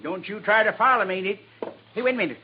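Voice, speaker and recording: A man's voice: sits high, quick pace, lightly acted. An actor playing a proud, cantankerous old frontier man in a 1950s radio Western, with folksy rural speech. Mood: blustering, alarmed. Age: older. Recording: muffled, hissy 1950s radio recording.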